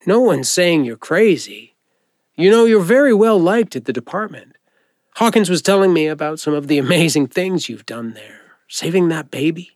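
A man playing a friendly, informal doctor who gently encourages and reassures a patient in an audio drama. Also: a little high, animated, moderate pace, dry recording, studio quality, lightly acted. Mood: reassuring. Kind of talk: naturalistic audio drama acting.

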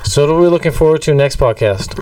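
A man's voice: fairly high pitch, lively intonation, fast talker, darkish tone, distant mic, poor audio. A man with a Boston accent co-hosting a podcast in casual, playful, rambling banter, a little away from the microphone. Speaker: podcast co-host.

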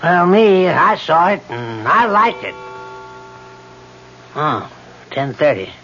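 A man's voice: on the high side, very animated, takes it slowly, sharp tone, soft background music, full character voice. An actor playing a simple, good-natured boarding house handyman, mild and folksy, in a 1970s radio drama. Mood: contented, sleepy. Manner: mild, folksy, plain-spoken. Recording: dull lo-fi old radio broadcast.

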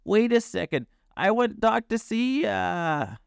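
A man voicing an excitable, anxious big furry dog in a storybook, with barking, urgent exclamations. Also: medium pitch, slow pace, creaky, dry recording, studio quality, voice pushed up, exaggerated voice. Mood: excited, urgent.

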